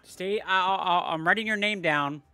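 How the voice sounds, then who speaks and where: A man hosting a casual livestream giveaway, chatting with viewers and family in quick bursts. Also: high voice, bright, forceful tone, clear, resonant tone, dry recording, soft background music. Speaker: livestream host running giveaways.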